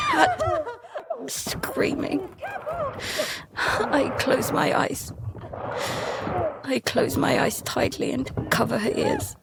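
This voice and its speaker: Scared child narrator in an audio drama: high voice, slow halting delivery, dramatic music underneath. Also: female voice, exaggerated voice. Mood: helpless dread. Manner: halting, repeating words, breathy urgency. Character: terrified child narrator protecting younger sibling at sea. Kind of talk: first-person present-tense dramatic narration. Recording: loud dramatic music behind.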